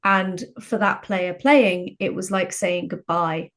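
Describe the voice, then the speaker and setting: A woman with a slightly low voice speaks as a guest on a podcast. She explains and recounts in a reflective, conversational style. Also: steady tone, slow pace. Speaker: podcast guest, games writer.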